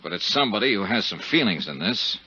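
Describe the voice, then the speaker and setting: An actor playing a shrewd, dogged American investigator who questions and narrates in a clipped way in a 1950s radio detective drama. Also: male voice, lowish voice, big pitch swings, fast talker, bright, forceful tone, slightly rough, exaggerated voice. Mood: probing, dry. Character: shrewd, dogged male insurance investigator narrating his case. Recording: muffled 1950s radio recording.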